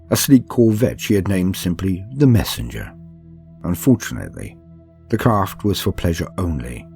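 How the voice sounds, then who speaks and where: Male narrator, low and mellow, calm sleep-aid style book reading, steady and soothing. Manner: measured, soothing, unhurried storytelling.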